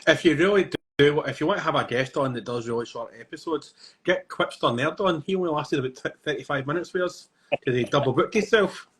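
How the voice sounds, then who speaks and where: A man's voice: fairly high pitch, fairly quick, fairly bright tone. A man, co-hosting a podcast and telling jokey stories with laughter. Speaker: podcast co-host. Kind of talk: casual podcast chat.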